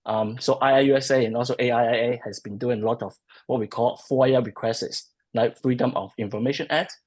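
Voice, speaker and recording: A man talks through a webinar as a guest, his voice a touch husky and mid-pitched. He pauses often with ums and restarts his sentences as he works out his points over a video call. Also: steady tone, darkish tone. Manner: hesitant, many ums and restarts. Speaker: policy research guest. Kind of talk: webinar discussion.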